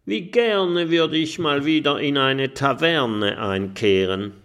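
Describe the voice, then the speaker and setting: Ein Mann spricht einen sehnsüchtigen Piraten, der seufzend redet. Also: male voice, fairly high pitch, takes it slowly, fairly bright tone, clear, resonant tone, voice pushed up. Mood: wistful. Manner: sighing. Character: wistful male pirate longing for rum.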